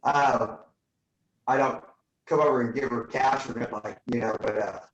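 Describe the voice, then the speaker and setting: A young man testifies over a video call from an echoey room. He is polite and folksy and sprinkles in fillers, with a mid-pitched voice. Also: steady tone, moderate pace, muffled recording. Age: young adult. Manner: polite and folksy, with fillers and many "yes, ma'am" replies. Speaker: witness, father in a custody case.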